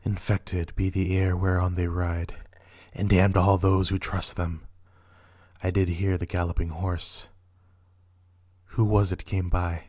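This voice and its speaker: A man's voice: deep voice, level tone, measured pace, a little mellow, no room sound, studio quality. A man playing a tormented, imperious and ruthless king, declaiming weightily in a dramatic Shakespeare reading. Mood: angry, urgent.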